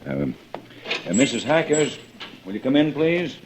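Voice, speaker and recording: An actor playing a kindly, uneasy police lieutenant in an old radio drama, hesitant and courteous. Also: male voice, medium pitch, lively intonation, a little slow. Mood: gentle, uneasy. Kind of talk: 1940s-50s radio drama acting. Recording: muffled old radio broadcast, faint noise.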